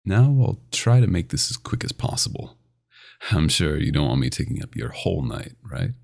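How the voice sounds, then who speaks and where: A man playing a dominant, possessive vampire doctor in an ASMR roleplay, intimate and teasing with a smooth menace. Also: big pitch swings, normal speed, full character voice. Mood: polite, coy. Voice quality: very deep, gravelly.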